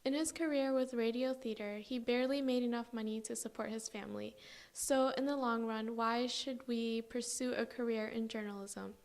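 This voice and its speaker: Woman, young adult, scripted report narration, formal read, fairly high pitch. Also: steady tone, normal speed. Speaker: student journalist, narrator.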